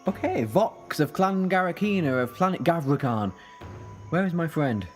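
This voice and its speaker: Audio drama lead: male actor as chatty, cheeky alien time traveller, lightish pitch, very expressive delivery. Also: moderate pace, soft background music, natural voice. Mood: earnest, anxious. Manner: chatty, animated, flippant. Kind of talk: fan sci-fi audio drama acting.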